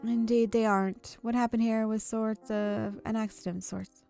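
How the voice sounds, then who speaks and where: Woman reading a courteous, mellow-voiced gentleman director. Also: on the high side, flat delivery, faint music behind, lightly acted. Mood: formal, gentle. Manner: formal, polite, measured. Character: courteous, gentlemanly amusement park director bound by magic. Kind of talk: visual novel character read-aloud.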